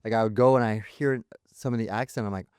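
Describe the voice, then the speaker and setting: A man's voice: lowish voice, steady tone, fast, a little mellow, clear, resonant tone, no echo. Rambling man on a dry mic. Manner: rambling, many fillers like "you know" and "like". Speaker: podcast co-host. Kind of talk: casual chat.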